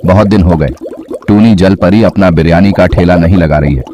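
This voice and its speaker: A man's voice: low voice, moderate pace, highs cut off, lightly acted. A man playing a kindly, appreciative regular customer in a cartoon story. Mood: curious. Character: kindly adult male biryani customer, generous, appreciative. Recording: clear background noise.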